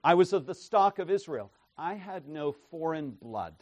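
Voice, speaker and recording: A man preaching an expository sermon, emphatic and tagging his points with "right?", his loudness swinging from soft asides to forceful declarations. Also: a little high, lively intonation, moderate pace, no echo, muffled recording. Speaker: pastor.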